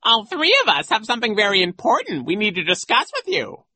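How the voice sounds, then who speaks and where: A man's voice: high-pitched, huge pitch swings, a little slow, sharp tone, no echo, voice pushed up, full character voice. A man voicing a vain, pompous and nasty male school vice principal in a sneering, mocking manner for an audiobook. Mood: nasty, dismissive. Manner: sneering, self-important, mocking.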